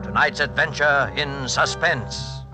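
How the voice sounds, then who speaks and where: Male vintage radio announcer over loud music. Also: mid-range voice, slow, poor audio. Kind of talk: formal 1940s radio announcing. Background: loud dramatic music.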